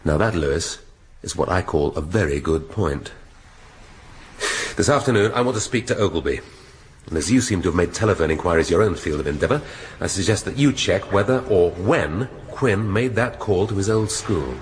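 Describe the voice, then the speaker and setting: An English actor playing a middle-aged police inspector in a radio drama, shrewd and dry, speaking deliberately with authority. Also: male voice, low-pitched, animated, a little hiss or hum. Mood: measured, decisive. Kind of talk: naturalistic radio drama acting.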